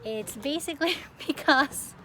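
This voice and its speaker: A young woman from the Philippines chatting casually as a plant vlogger, expressive and often breaking off mid-sentence. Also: sits high, measured pace. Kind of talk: casual get-to-know-me question-and-answer chat. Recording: clearly noisy home recording.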